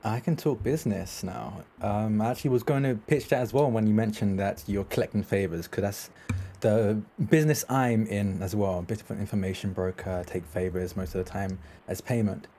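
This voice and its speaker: A man plays a vampire information broker in a tabletop game. His voice is lowish and mellow. He is composed and businesslike, pitching deals with measured persuasion. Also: a little hiss or hum, lightly acted. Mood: composed, persuasive.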